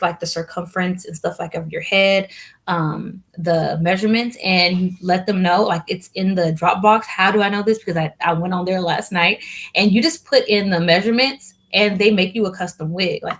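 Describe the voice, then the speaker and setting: A young woman chatting casually to viewers on a live stream, rambling with lots of fillers and restarts. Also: lowish voice. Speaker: hair vlogger hosting a live Q&A.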